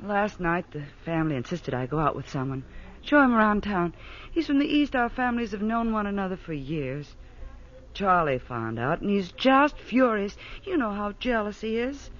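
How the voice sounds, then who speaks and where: A woman's voice: medium pitch, sing-song, moderate pace, lightly acted. An actress playing an exasperated, impulsive young society woman in a 1940s radio drama. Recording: muffled, hissy old radio broadcast.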